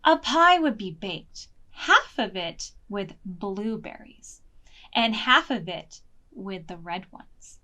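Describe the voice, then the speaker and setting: A woman reading a picture book aloud to preschoolers in an expressive, deliberate way, as a storytime librarian. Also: mid-range voice. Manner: slow, deliberate, expressive, pausing to ask questions.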